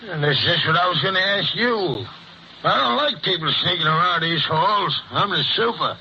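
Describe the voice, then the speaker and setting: Suspicious tenement super: high, gravelly, brusque, slangy, muffled old-time radio drama. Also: male voice, animated, moderate pace. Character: suspicious, nosy building superintendent, working-class, gruff. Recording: muffled old radio recording, faint hiss.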